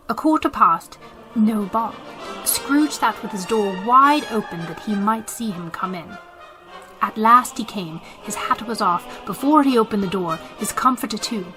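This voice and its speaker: A woman's voice: mid-range voice, animated, measured pace, sharp tone, soft background music, poor audio. A woman narrating a radio drama as a scripted storyteller, with emphatic, theatrical phrasing.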